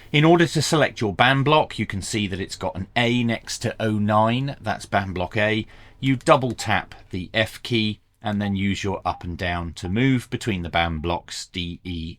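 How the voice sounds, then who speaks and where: A man's voice: lowish voice, fairly bright tone, dry recording. A man presenting a hands-on equipment review, explaining each step methodically in the style of a tutorial.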